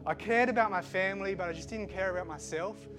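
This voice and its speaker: Middle-aged guy w a mixed aussie accent, bit higher voice, stops and restarts a lot, music playing under him. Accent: mixed Australian. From Switzerland, raised in Australia. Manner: halting, many fillers and restarts. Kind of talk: personal testimony.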